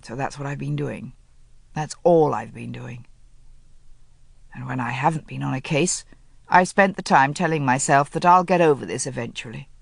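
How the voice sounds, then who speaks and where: A woman's voice: a bass voice, moderate pace, creaky, slightly rough, no room sound, pitched below the performer's own voice, full character voice. A woman voicing a grieving upper-class man who speaks in a subdued, clipped, self-reproaching way, read as an audiobook character. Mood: weary.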